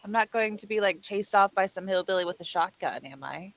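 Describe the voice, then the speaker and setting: A woman acts a young woman in a horror drama, sarcastic and full of bravado. Her talk is conversational, at a middle pitch, recorded dry with no room sound. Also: fairly quick, dry recording, lightly acted. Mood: sarcastic, curious. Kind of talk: table read of a horror film script.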